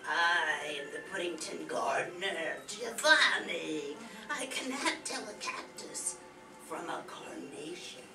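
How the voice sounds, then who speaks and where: Woman as a creaky, slow-witted male gardener. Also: fairly low pitch, animated, over music, heavily acted. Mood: cheerful. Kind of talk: radio soap opera parody acting. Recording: distant echoey stage, audience present.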